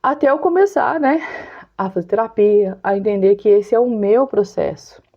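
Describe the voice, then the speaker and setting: A woman's voice: mid-range voice, normal speed, highs cut off. A Brazilian woman in her forties talks casually and openly to her viewers, chatty and confiding, often laughing. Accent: Brazilian Portuguese. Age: 40s. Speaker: vlogger. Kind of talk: casual talk to camera.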